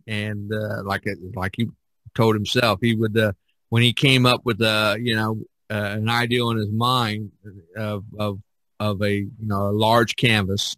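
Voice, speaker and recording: A man's voice: lowish voice, a bit bright, dry recording, rough recording. A man hosting a casual podcast discussion, rambling along, often repeating himself and trailing off. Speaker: podcast host.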